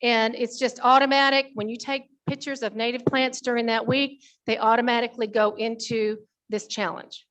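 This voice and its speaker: Woman, high-pitched voice, meeting presenter, informal report, folksy, conversational. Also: level tone, normal speed, hardly any pauses, fairly bright tone. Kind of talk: informal spoken committee report to an audience.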